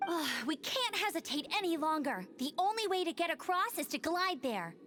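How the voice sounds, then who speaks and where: Casting: confident young female outrider, anime game style, very high, animated, earnest and peppy. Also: moderate pace, noisy background. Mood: resolute.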